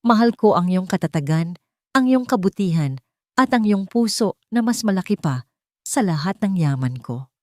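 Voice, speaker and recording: A woman's voice: mid-range voice, expressive, moderate pace, darkish tone, dry recording, studio quality, lightly acted. A woman with a Filipino accent voicing a sincere, serious millionaire father in love in an audio storybook. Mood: earnest, loving. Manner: earnest, serious.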